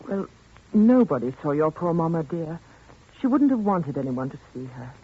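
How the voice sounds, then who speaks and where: An actress playing a gentle young governess in an old-time radio drama, soothing and coaxing. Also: female voice, low voice, sing-song, normal speed, slightly rough, exaggerated voice. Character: gentle young governess, kind but firm. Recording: thin phone-like radio recording.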